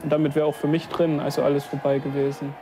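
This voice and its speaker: A man's voice: on the high side, completely flat delivery, quick pace, music in the background. A man recounting personal experiences informally, in colloquial run-on sentences. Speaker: eyewitness recalling his arrest. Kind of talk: informal spoken recollection.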